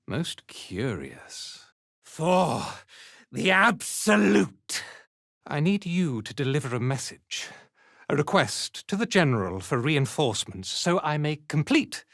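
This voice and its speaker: A man playing a haughty, zealous dark elf cult commander in a video game, with an imperious, contemptuous and theatrical delivery. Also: mid-range voice, dry recording, studio quality, full character voice. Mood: stern, measured. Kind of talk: scripted video game character acting.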